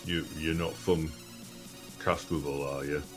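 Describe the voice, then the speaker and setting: A man's voice: very deep voice, flat delivery, unhurried, faint music behind. A man voicing a blunt, haggling male adventurer in a tabletop game. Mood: wheedling. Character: gruff deep-voiced adult male adventurer.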